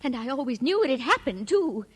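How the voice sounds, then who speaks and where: An excitable, indignant woman from a 1940s radio play. Her voice is very high and swings widely in pitch. She is insistent and dramatic, with a bitter edge. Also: brisk, breathy edge, exaggerated voice. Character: excitable, bitter woman fixated on her runaway second husband. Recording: old radio drama recording.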